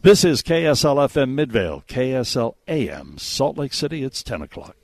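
A man's voice: medium pitch, sing-song, normal speed. A man hosting a live radio talk show, chatting and promoting in an effusive, easily amazed way on a studio mic. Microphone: close studio radio microphone.

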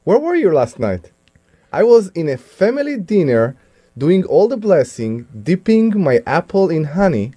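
A man's voice: high voice, sing-song, measured pace, mellow. A man with an Israeli accent co-hosting a talk radio show, teasing the others dryly. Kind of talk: casual talk radio banter. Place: radio studio.

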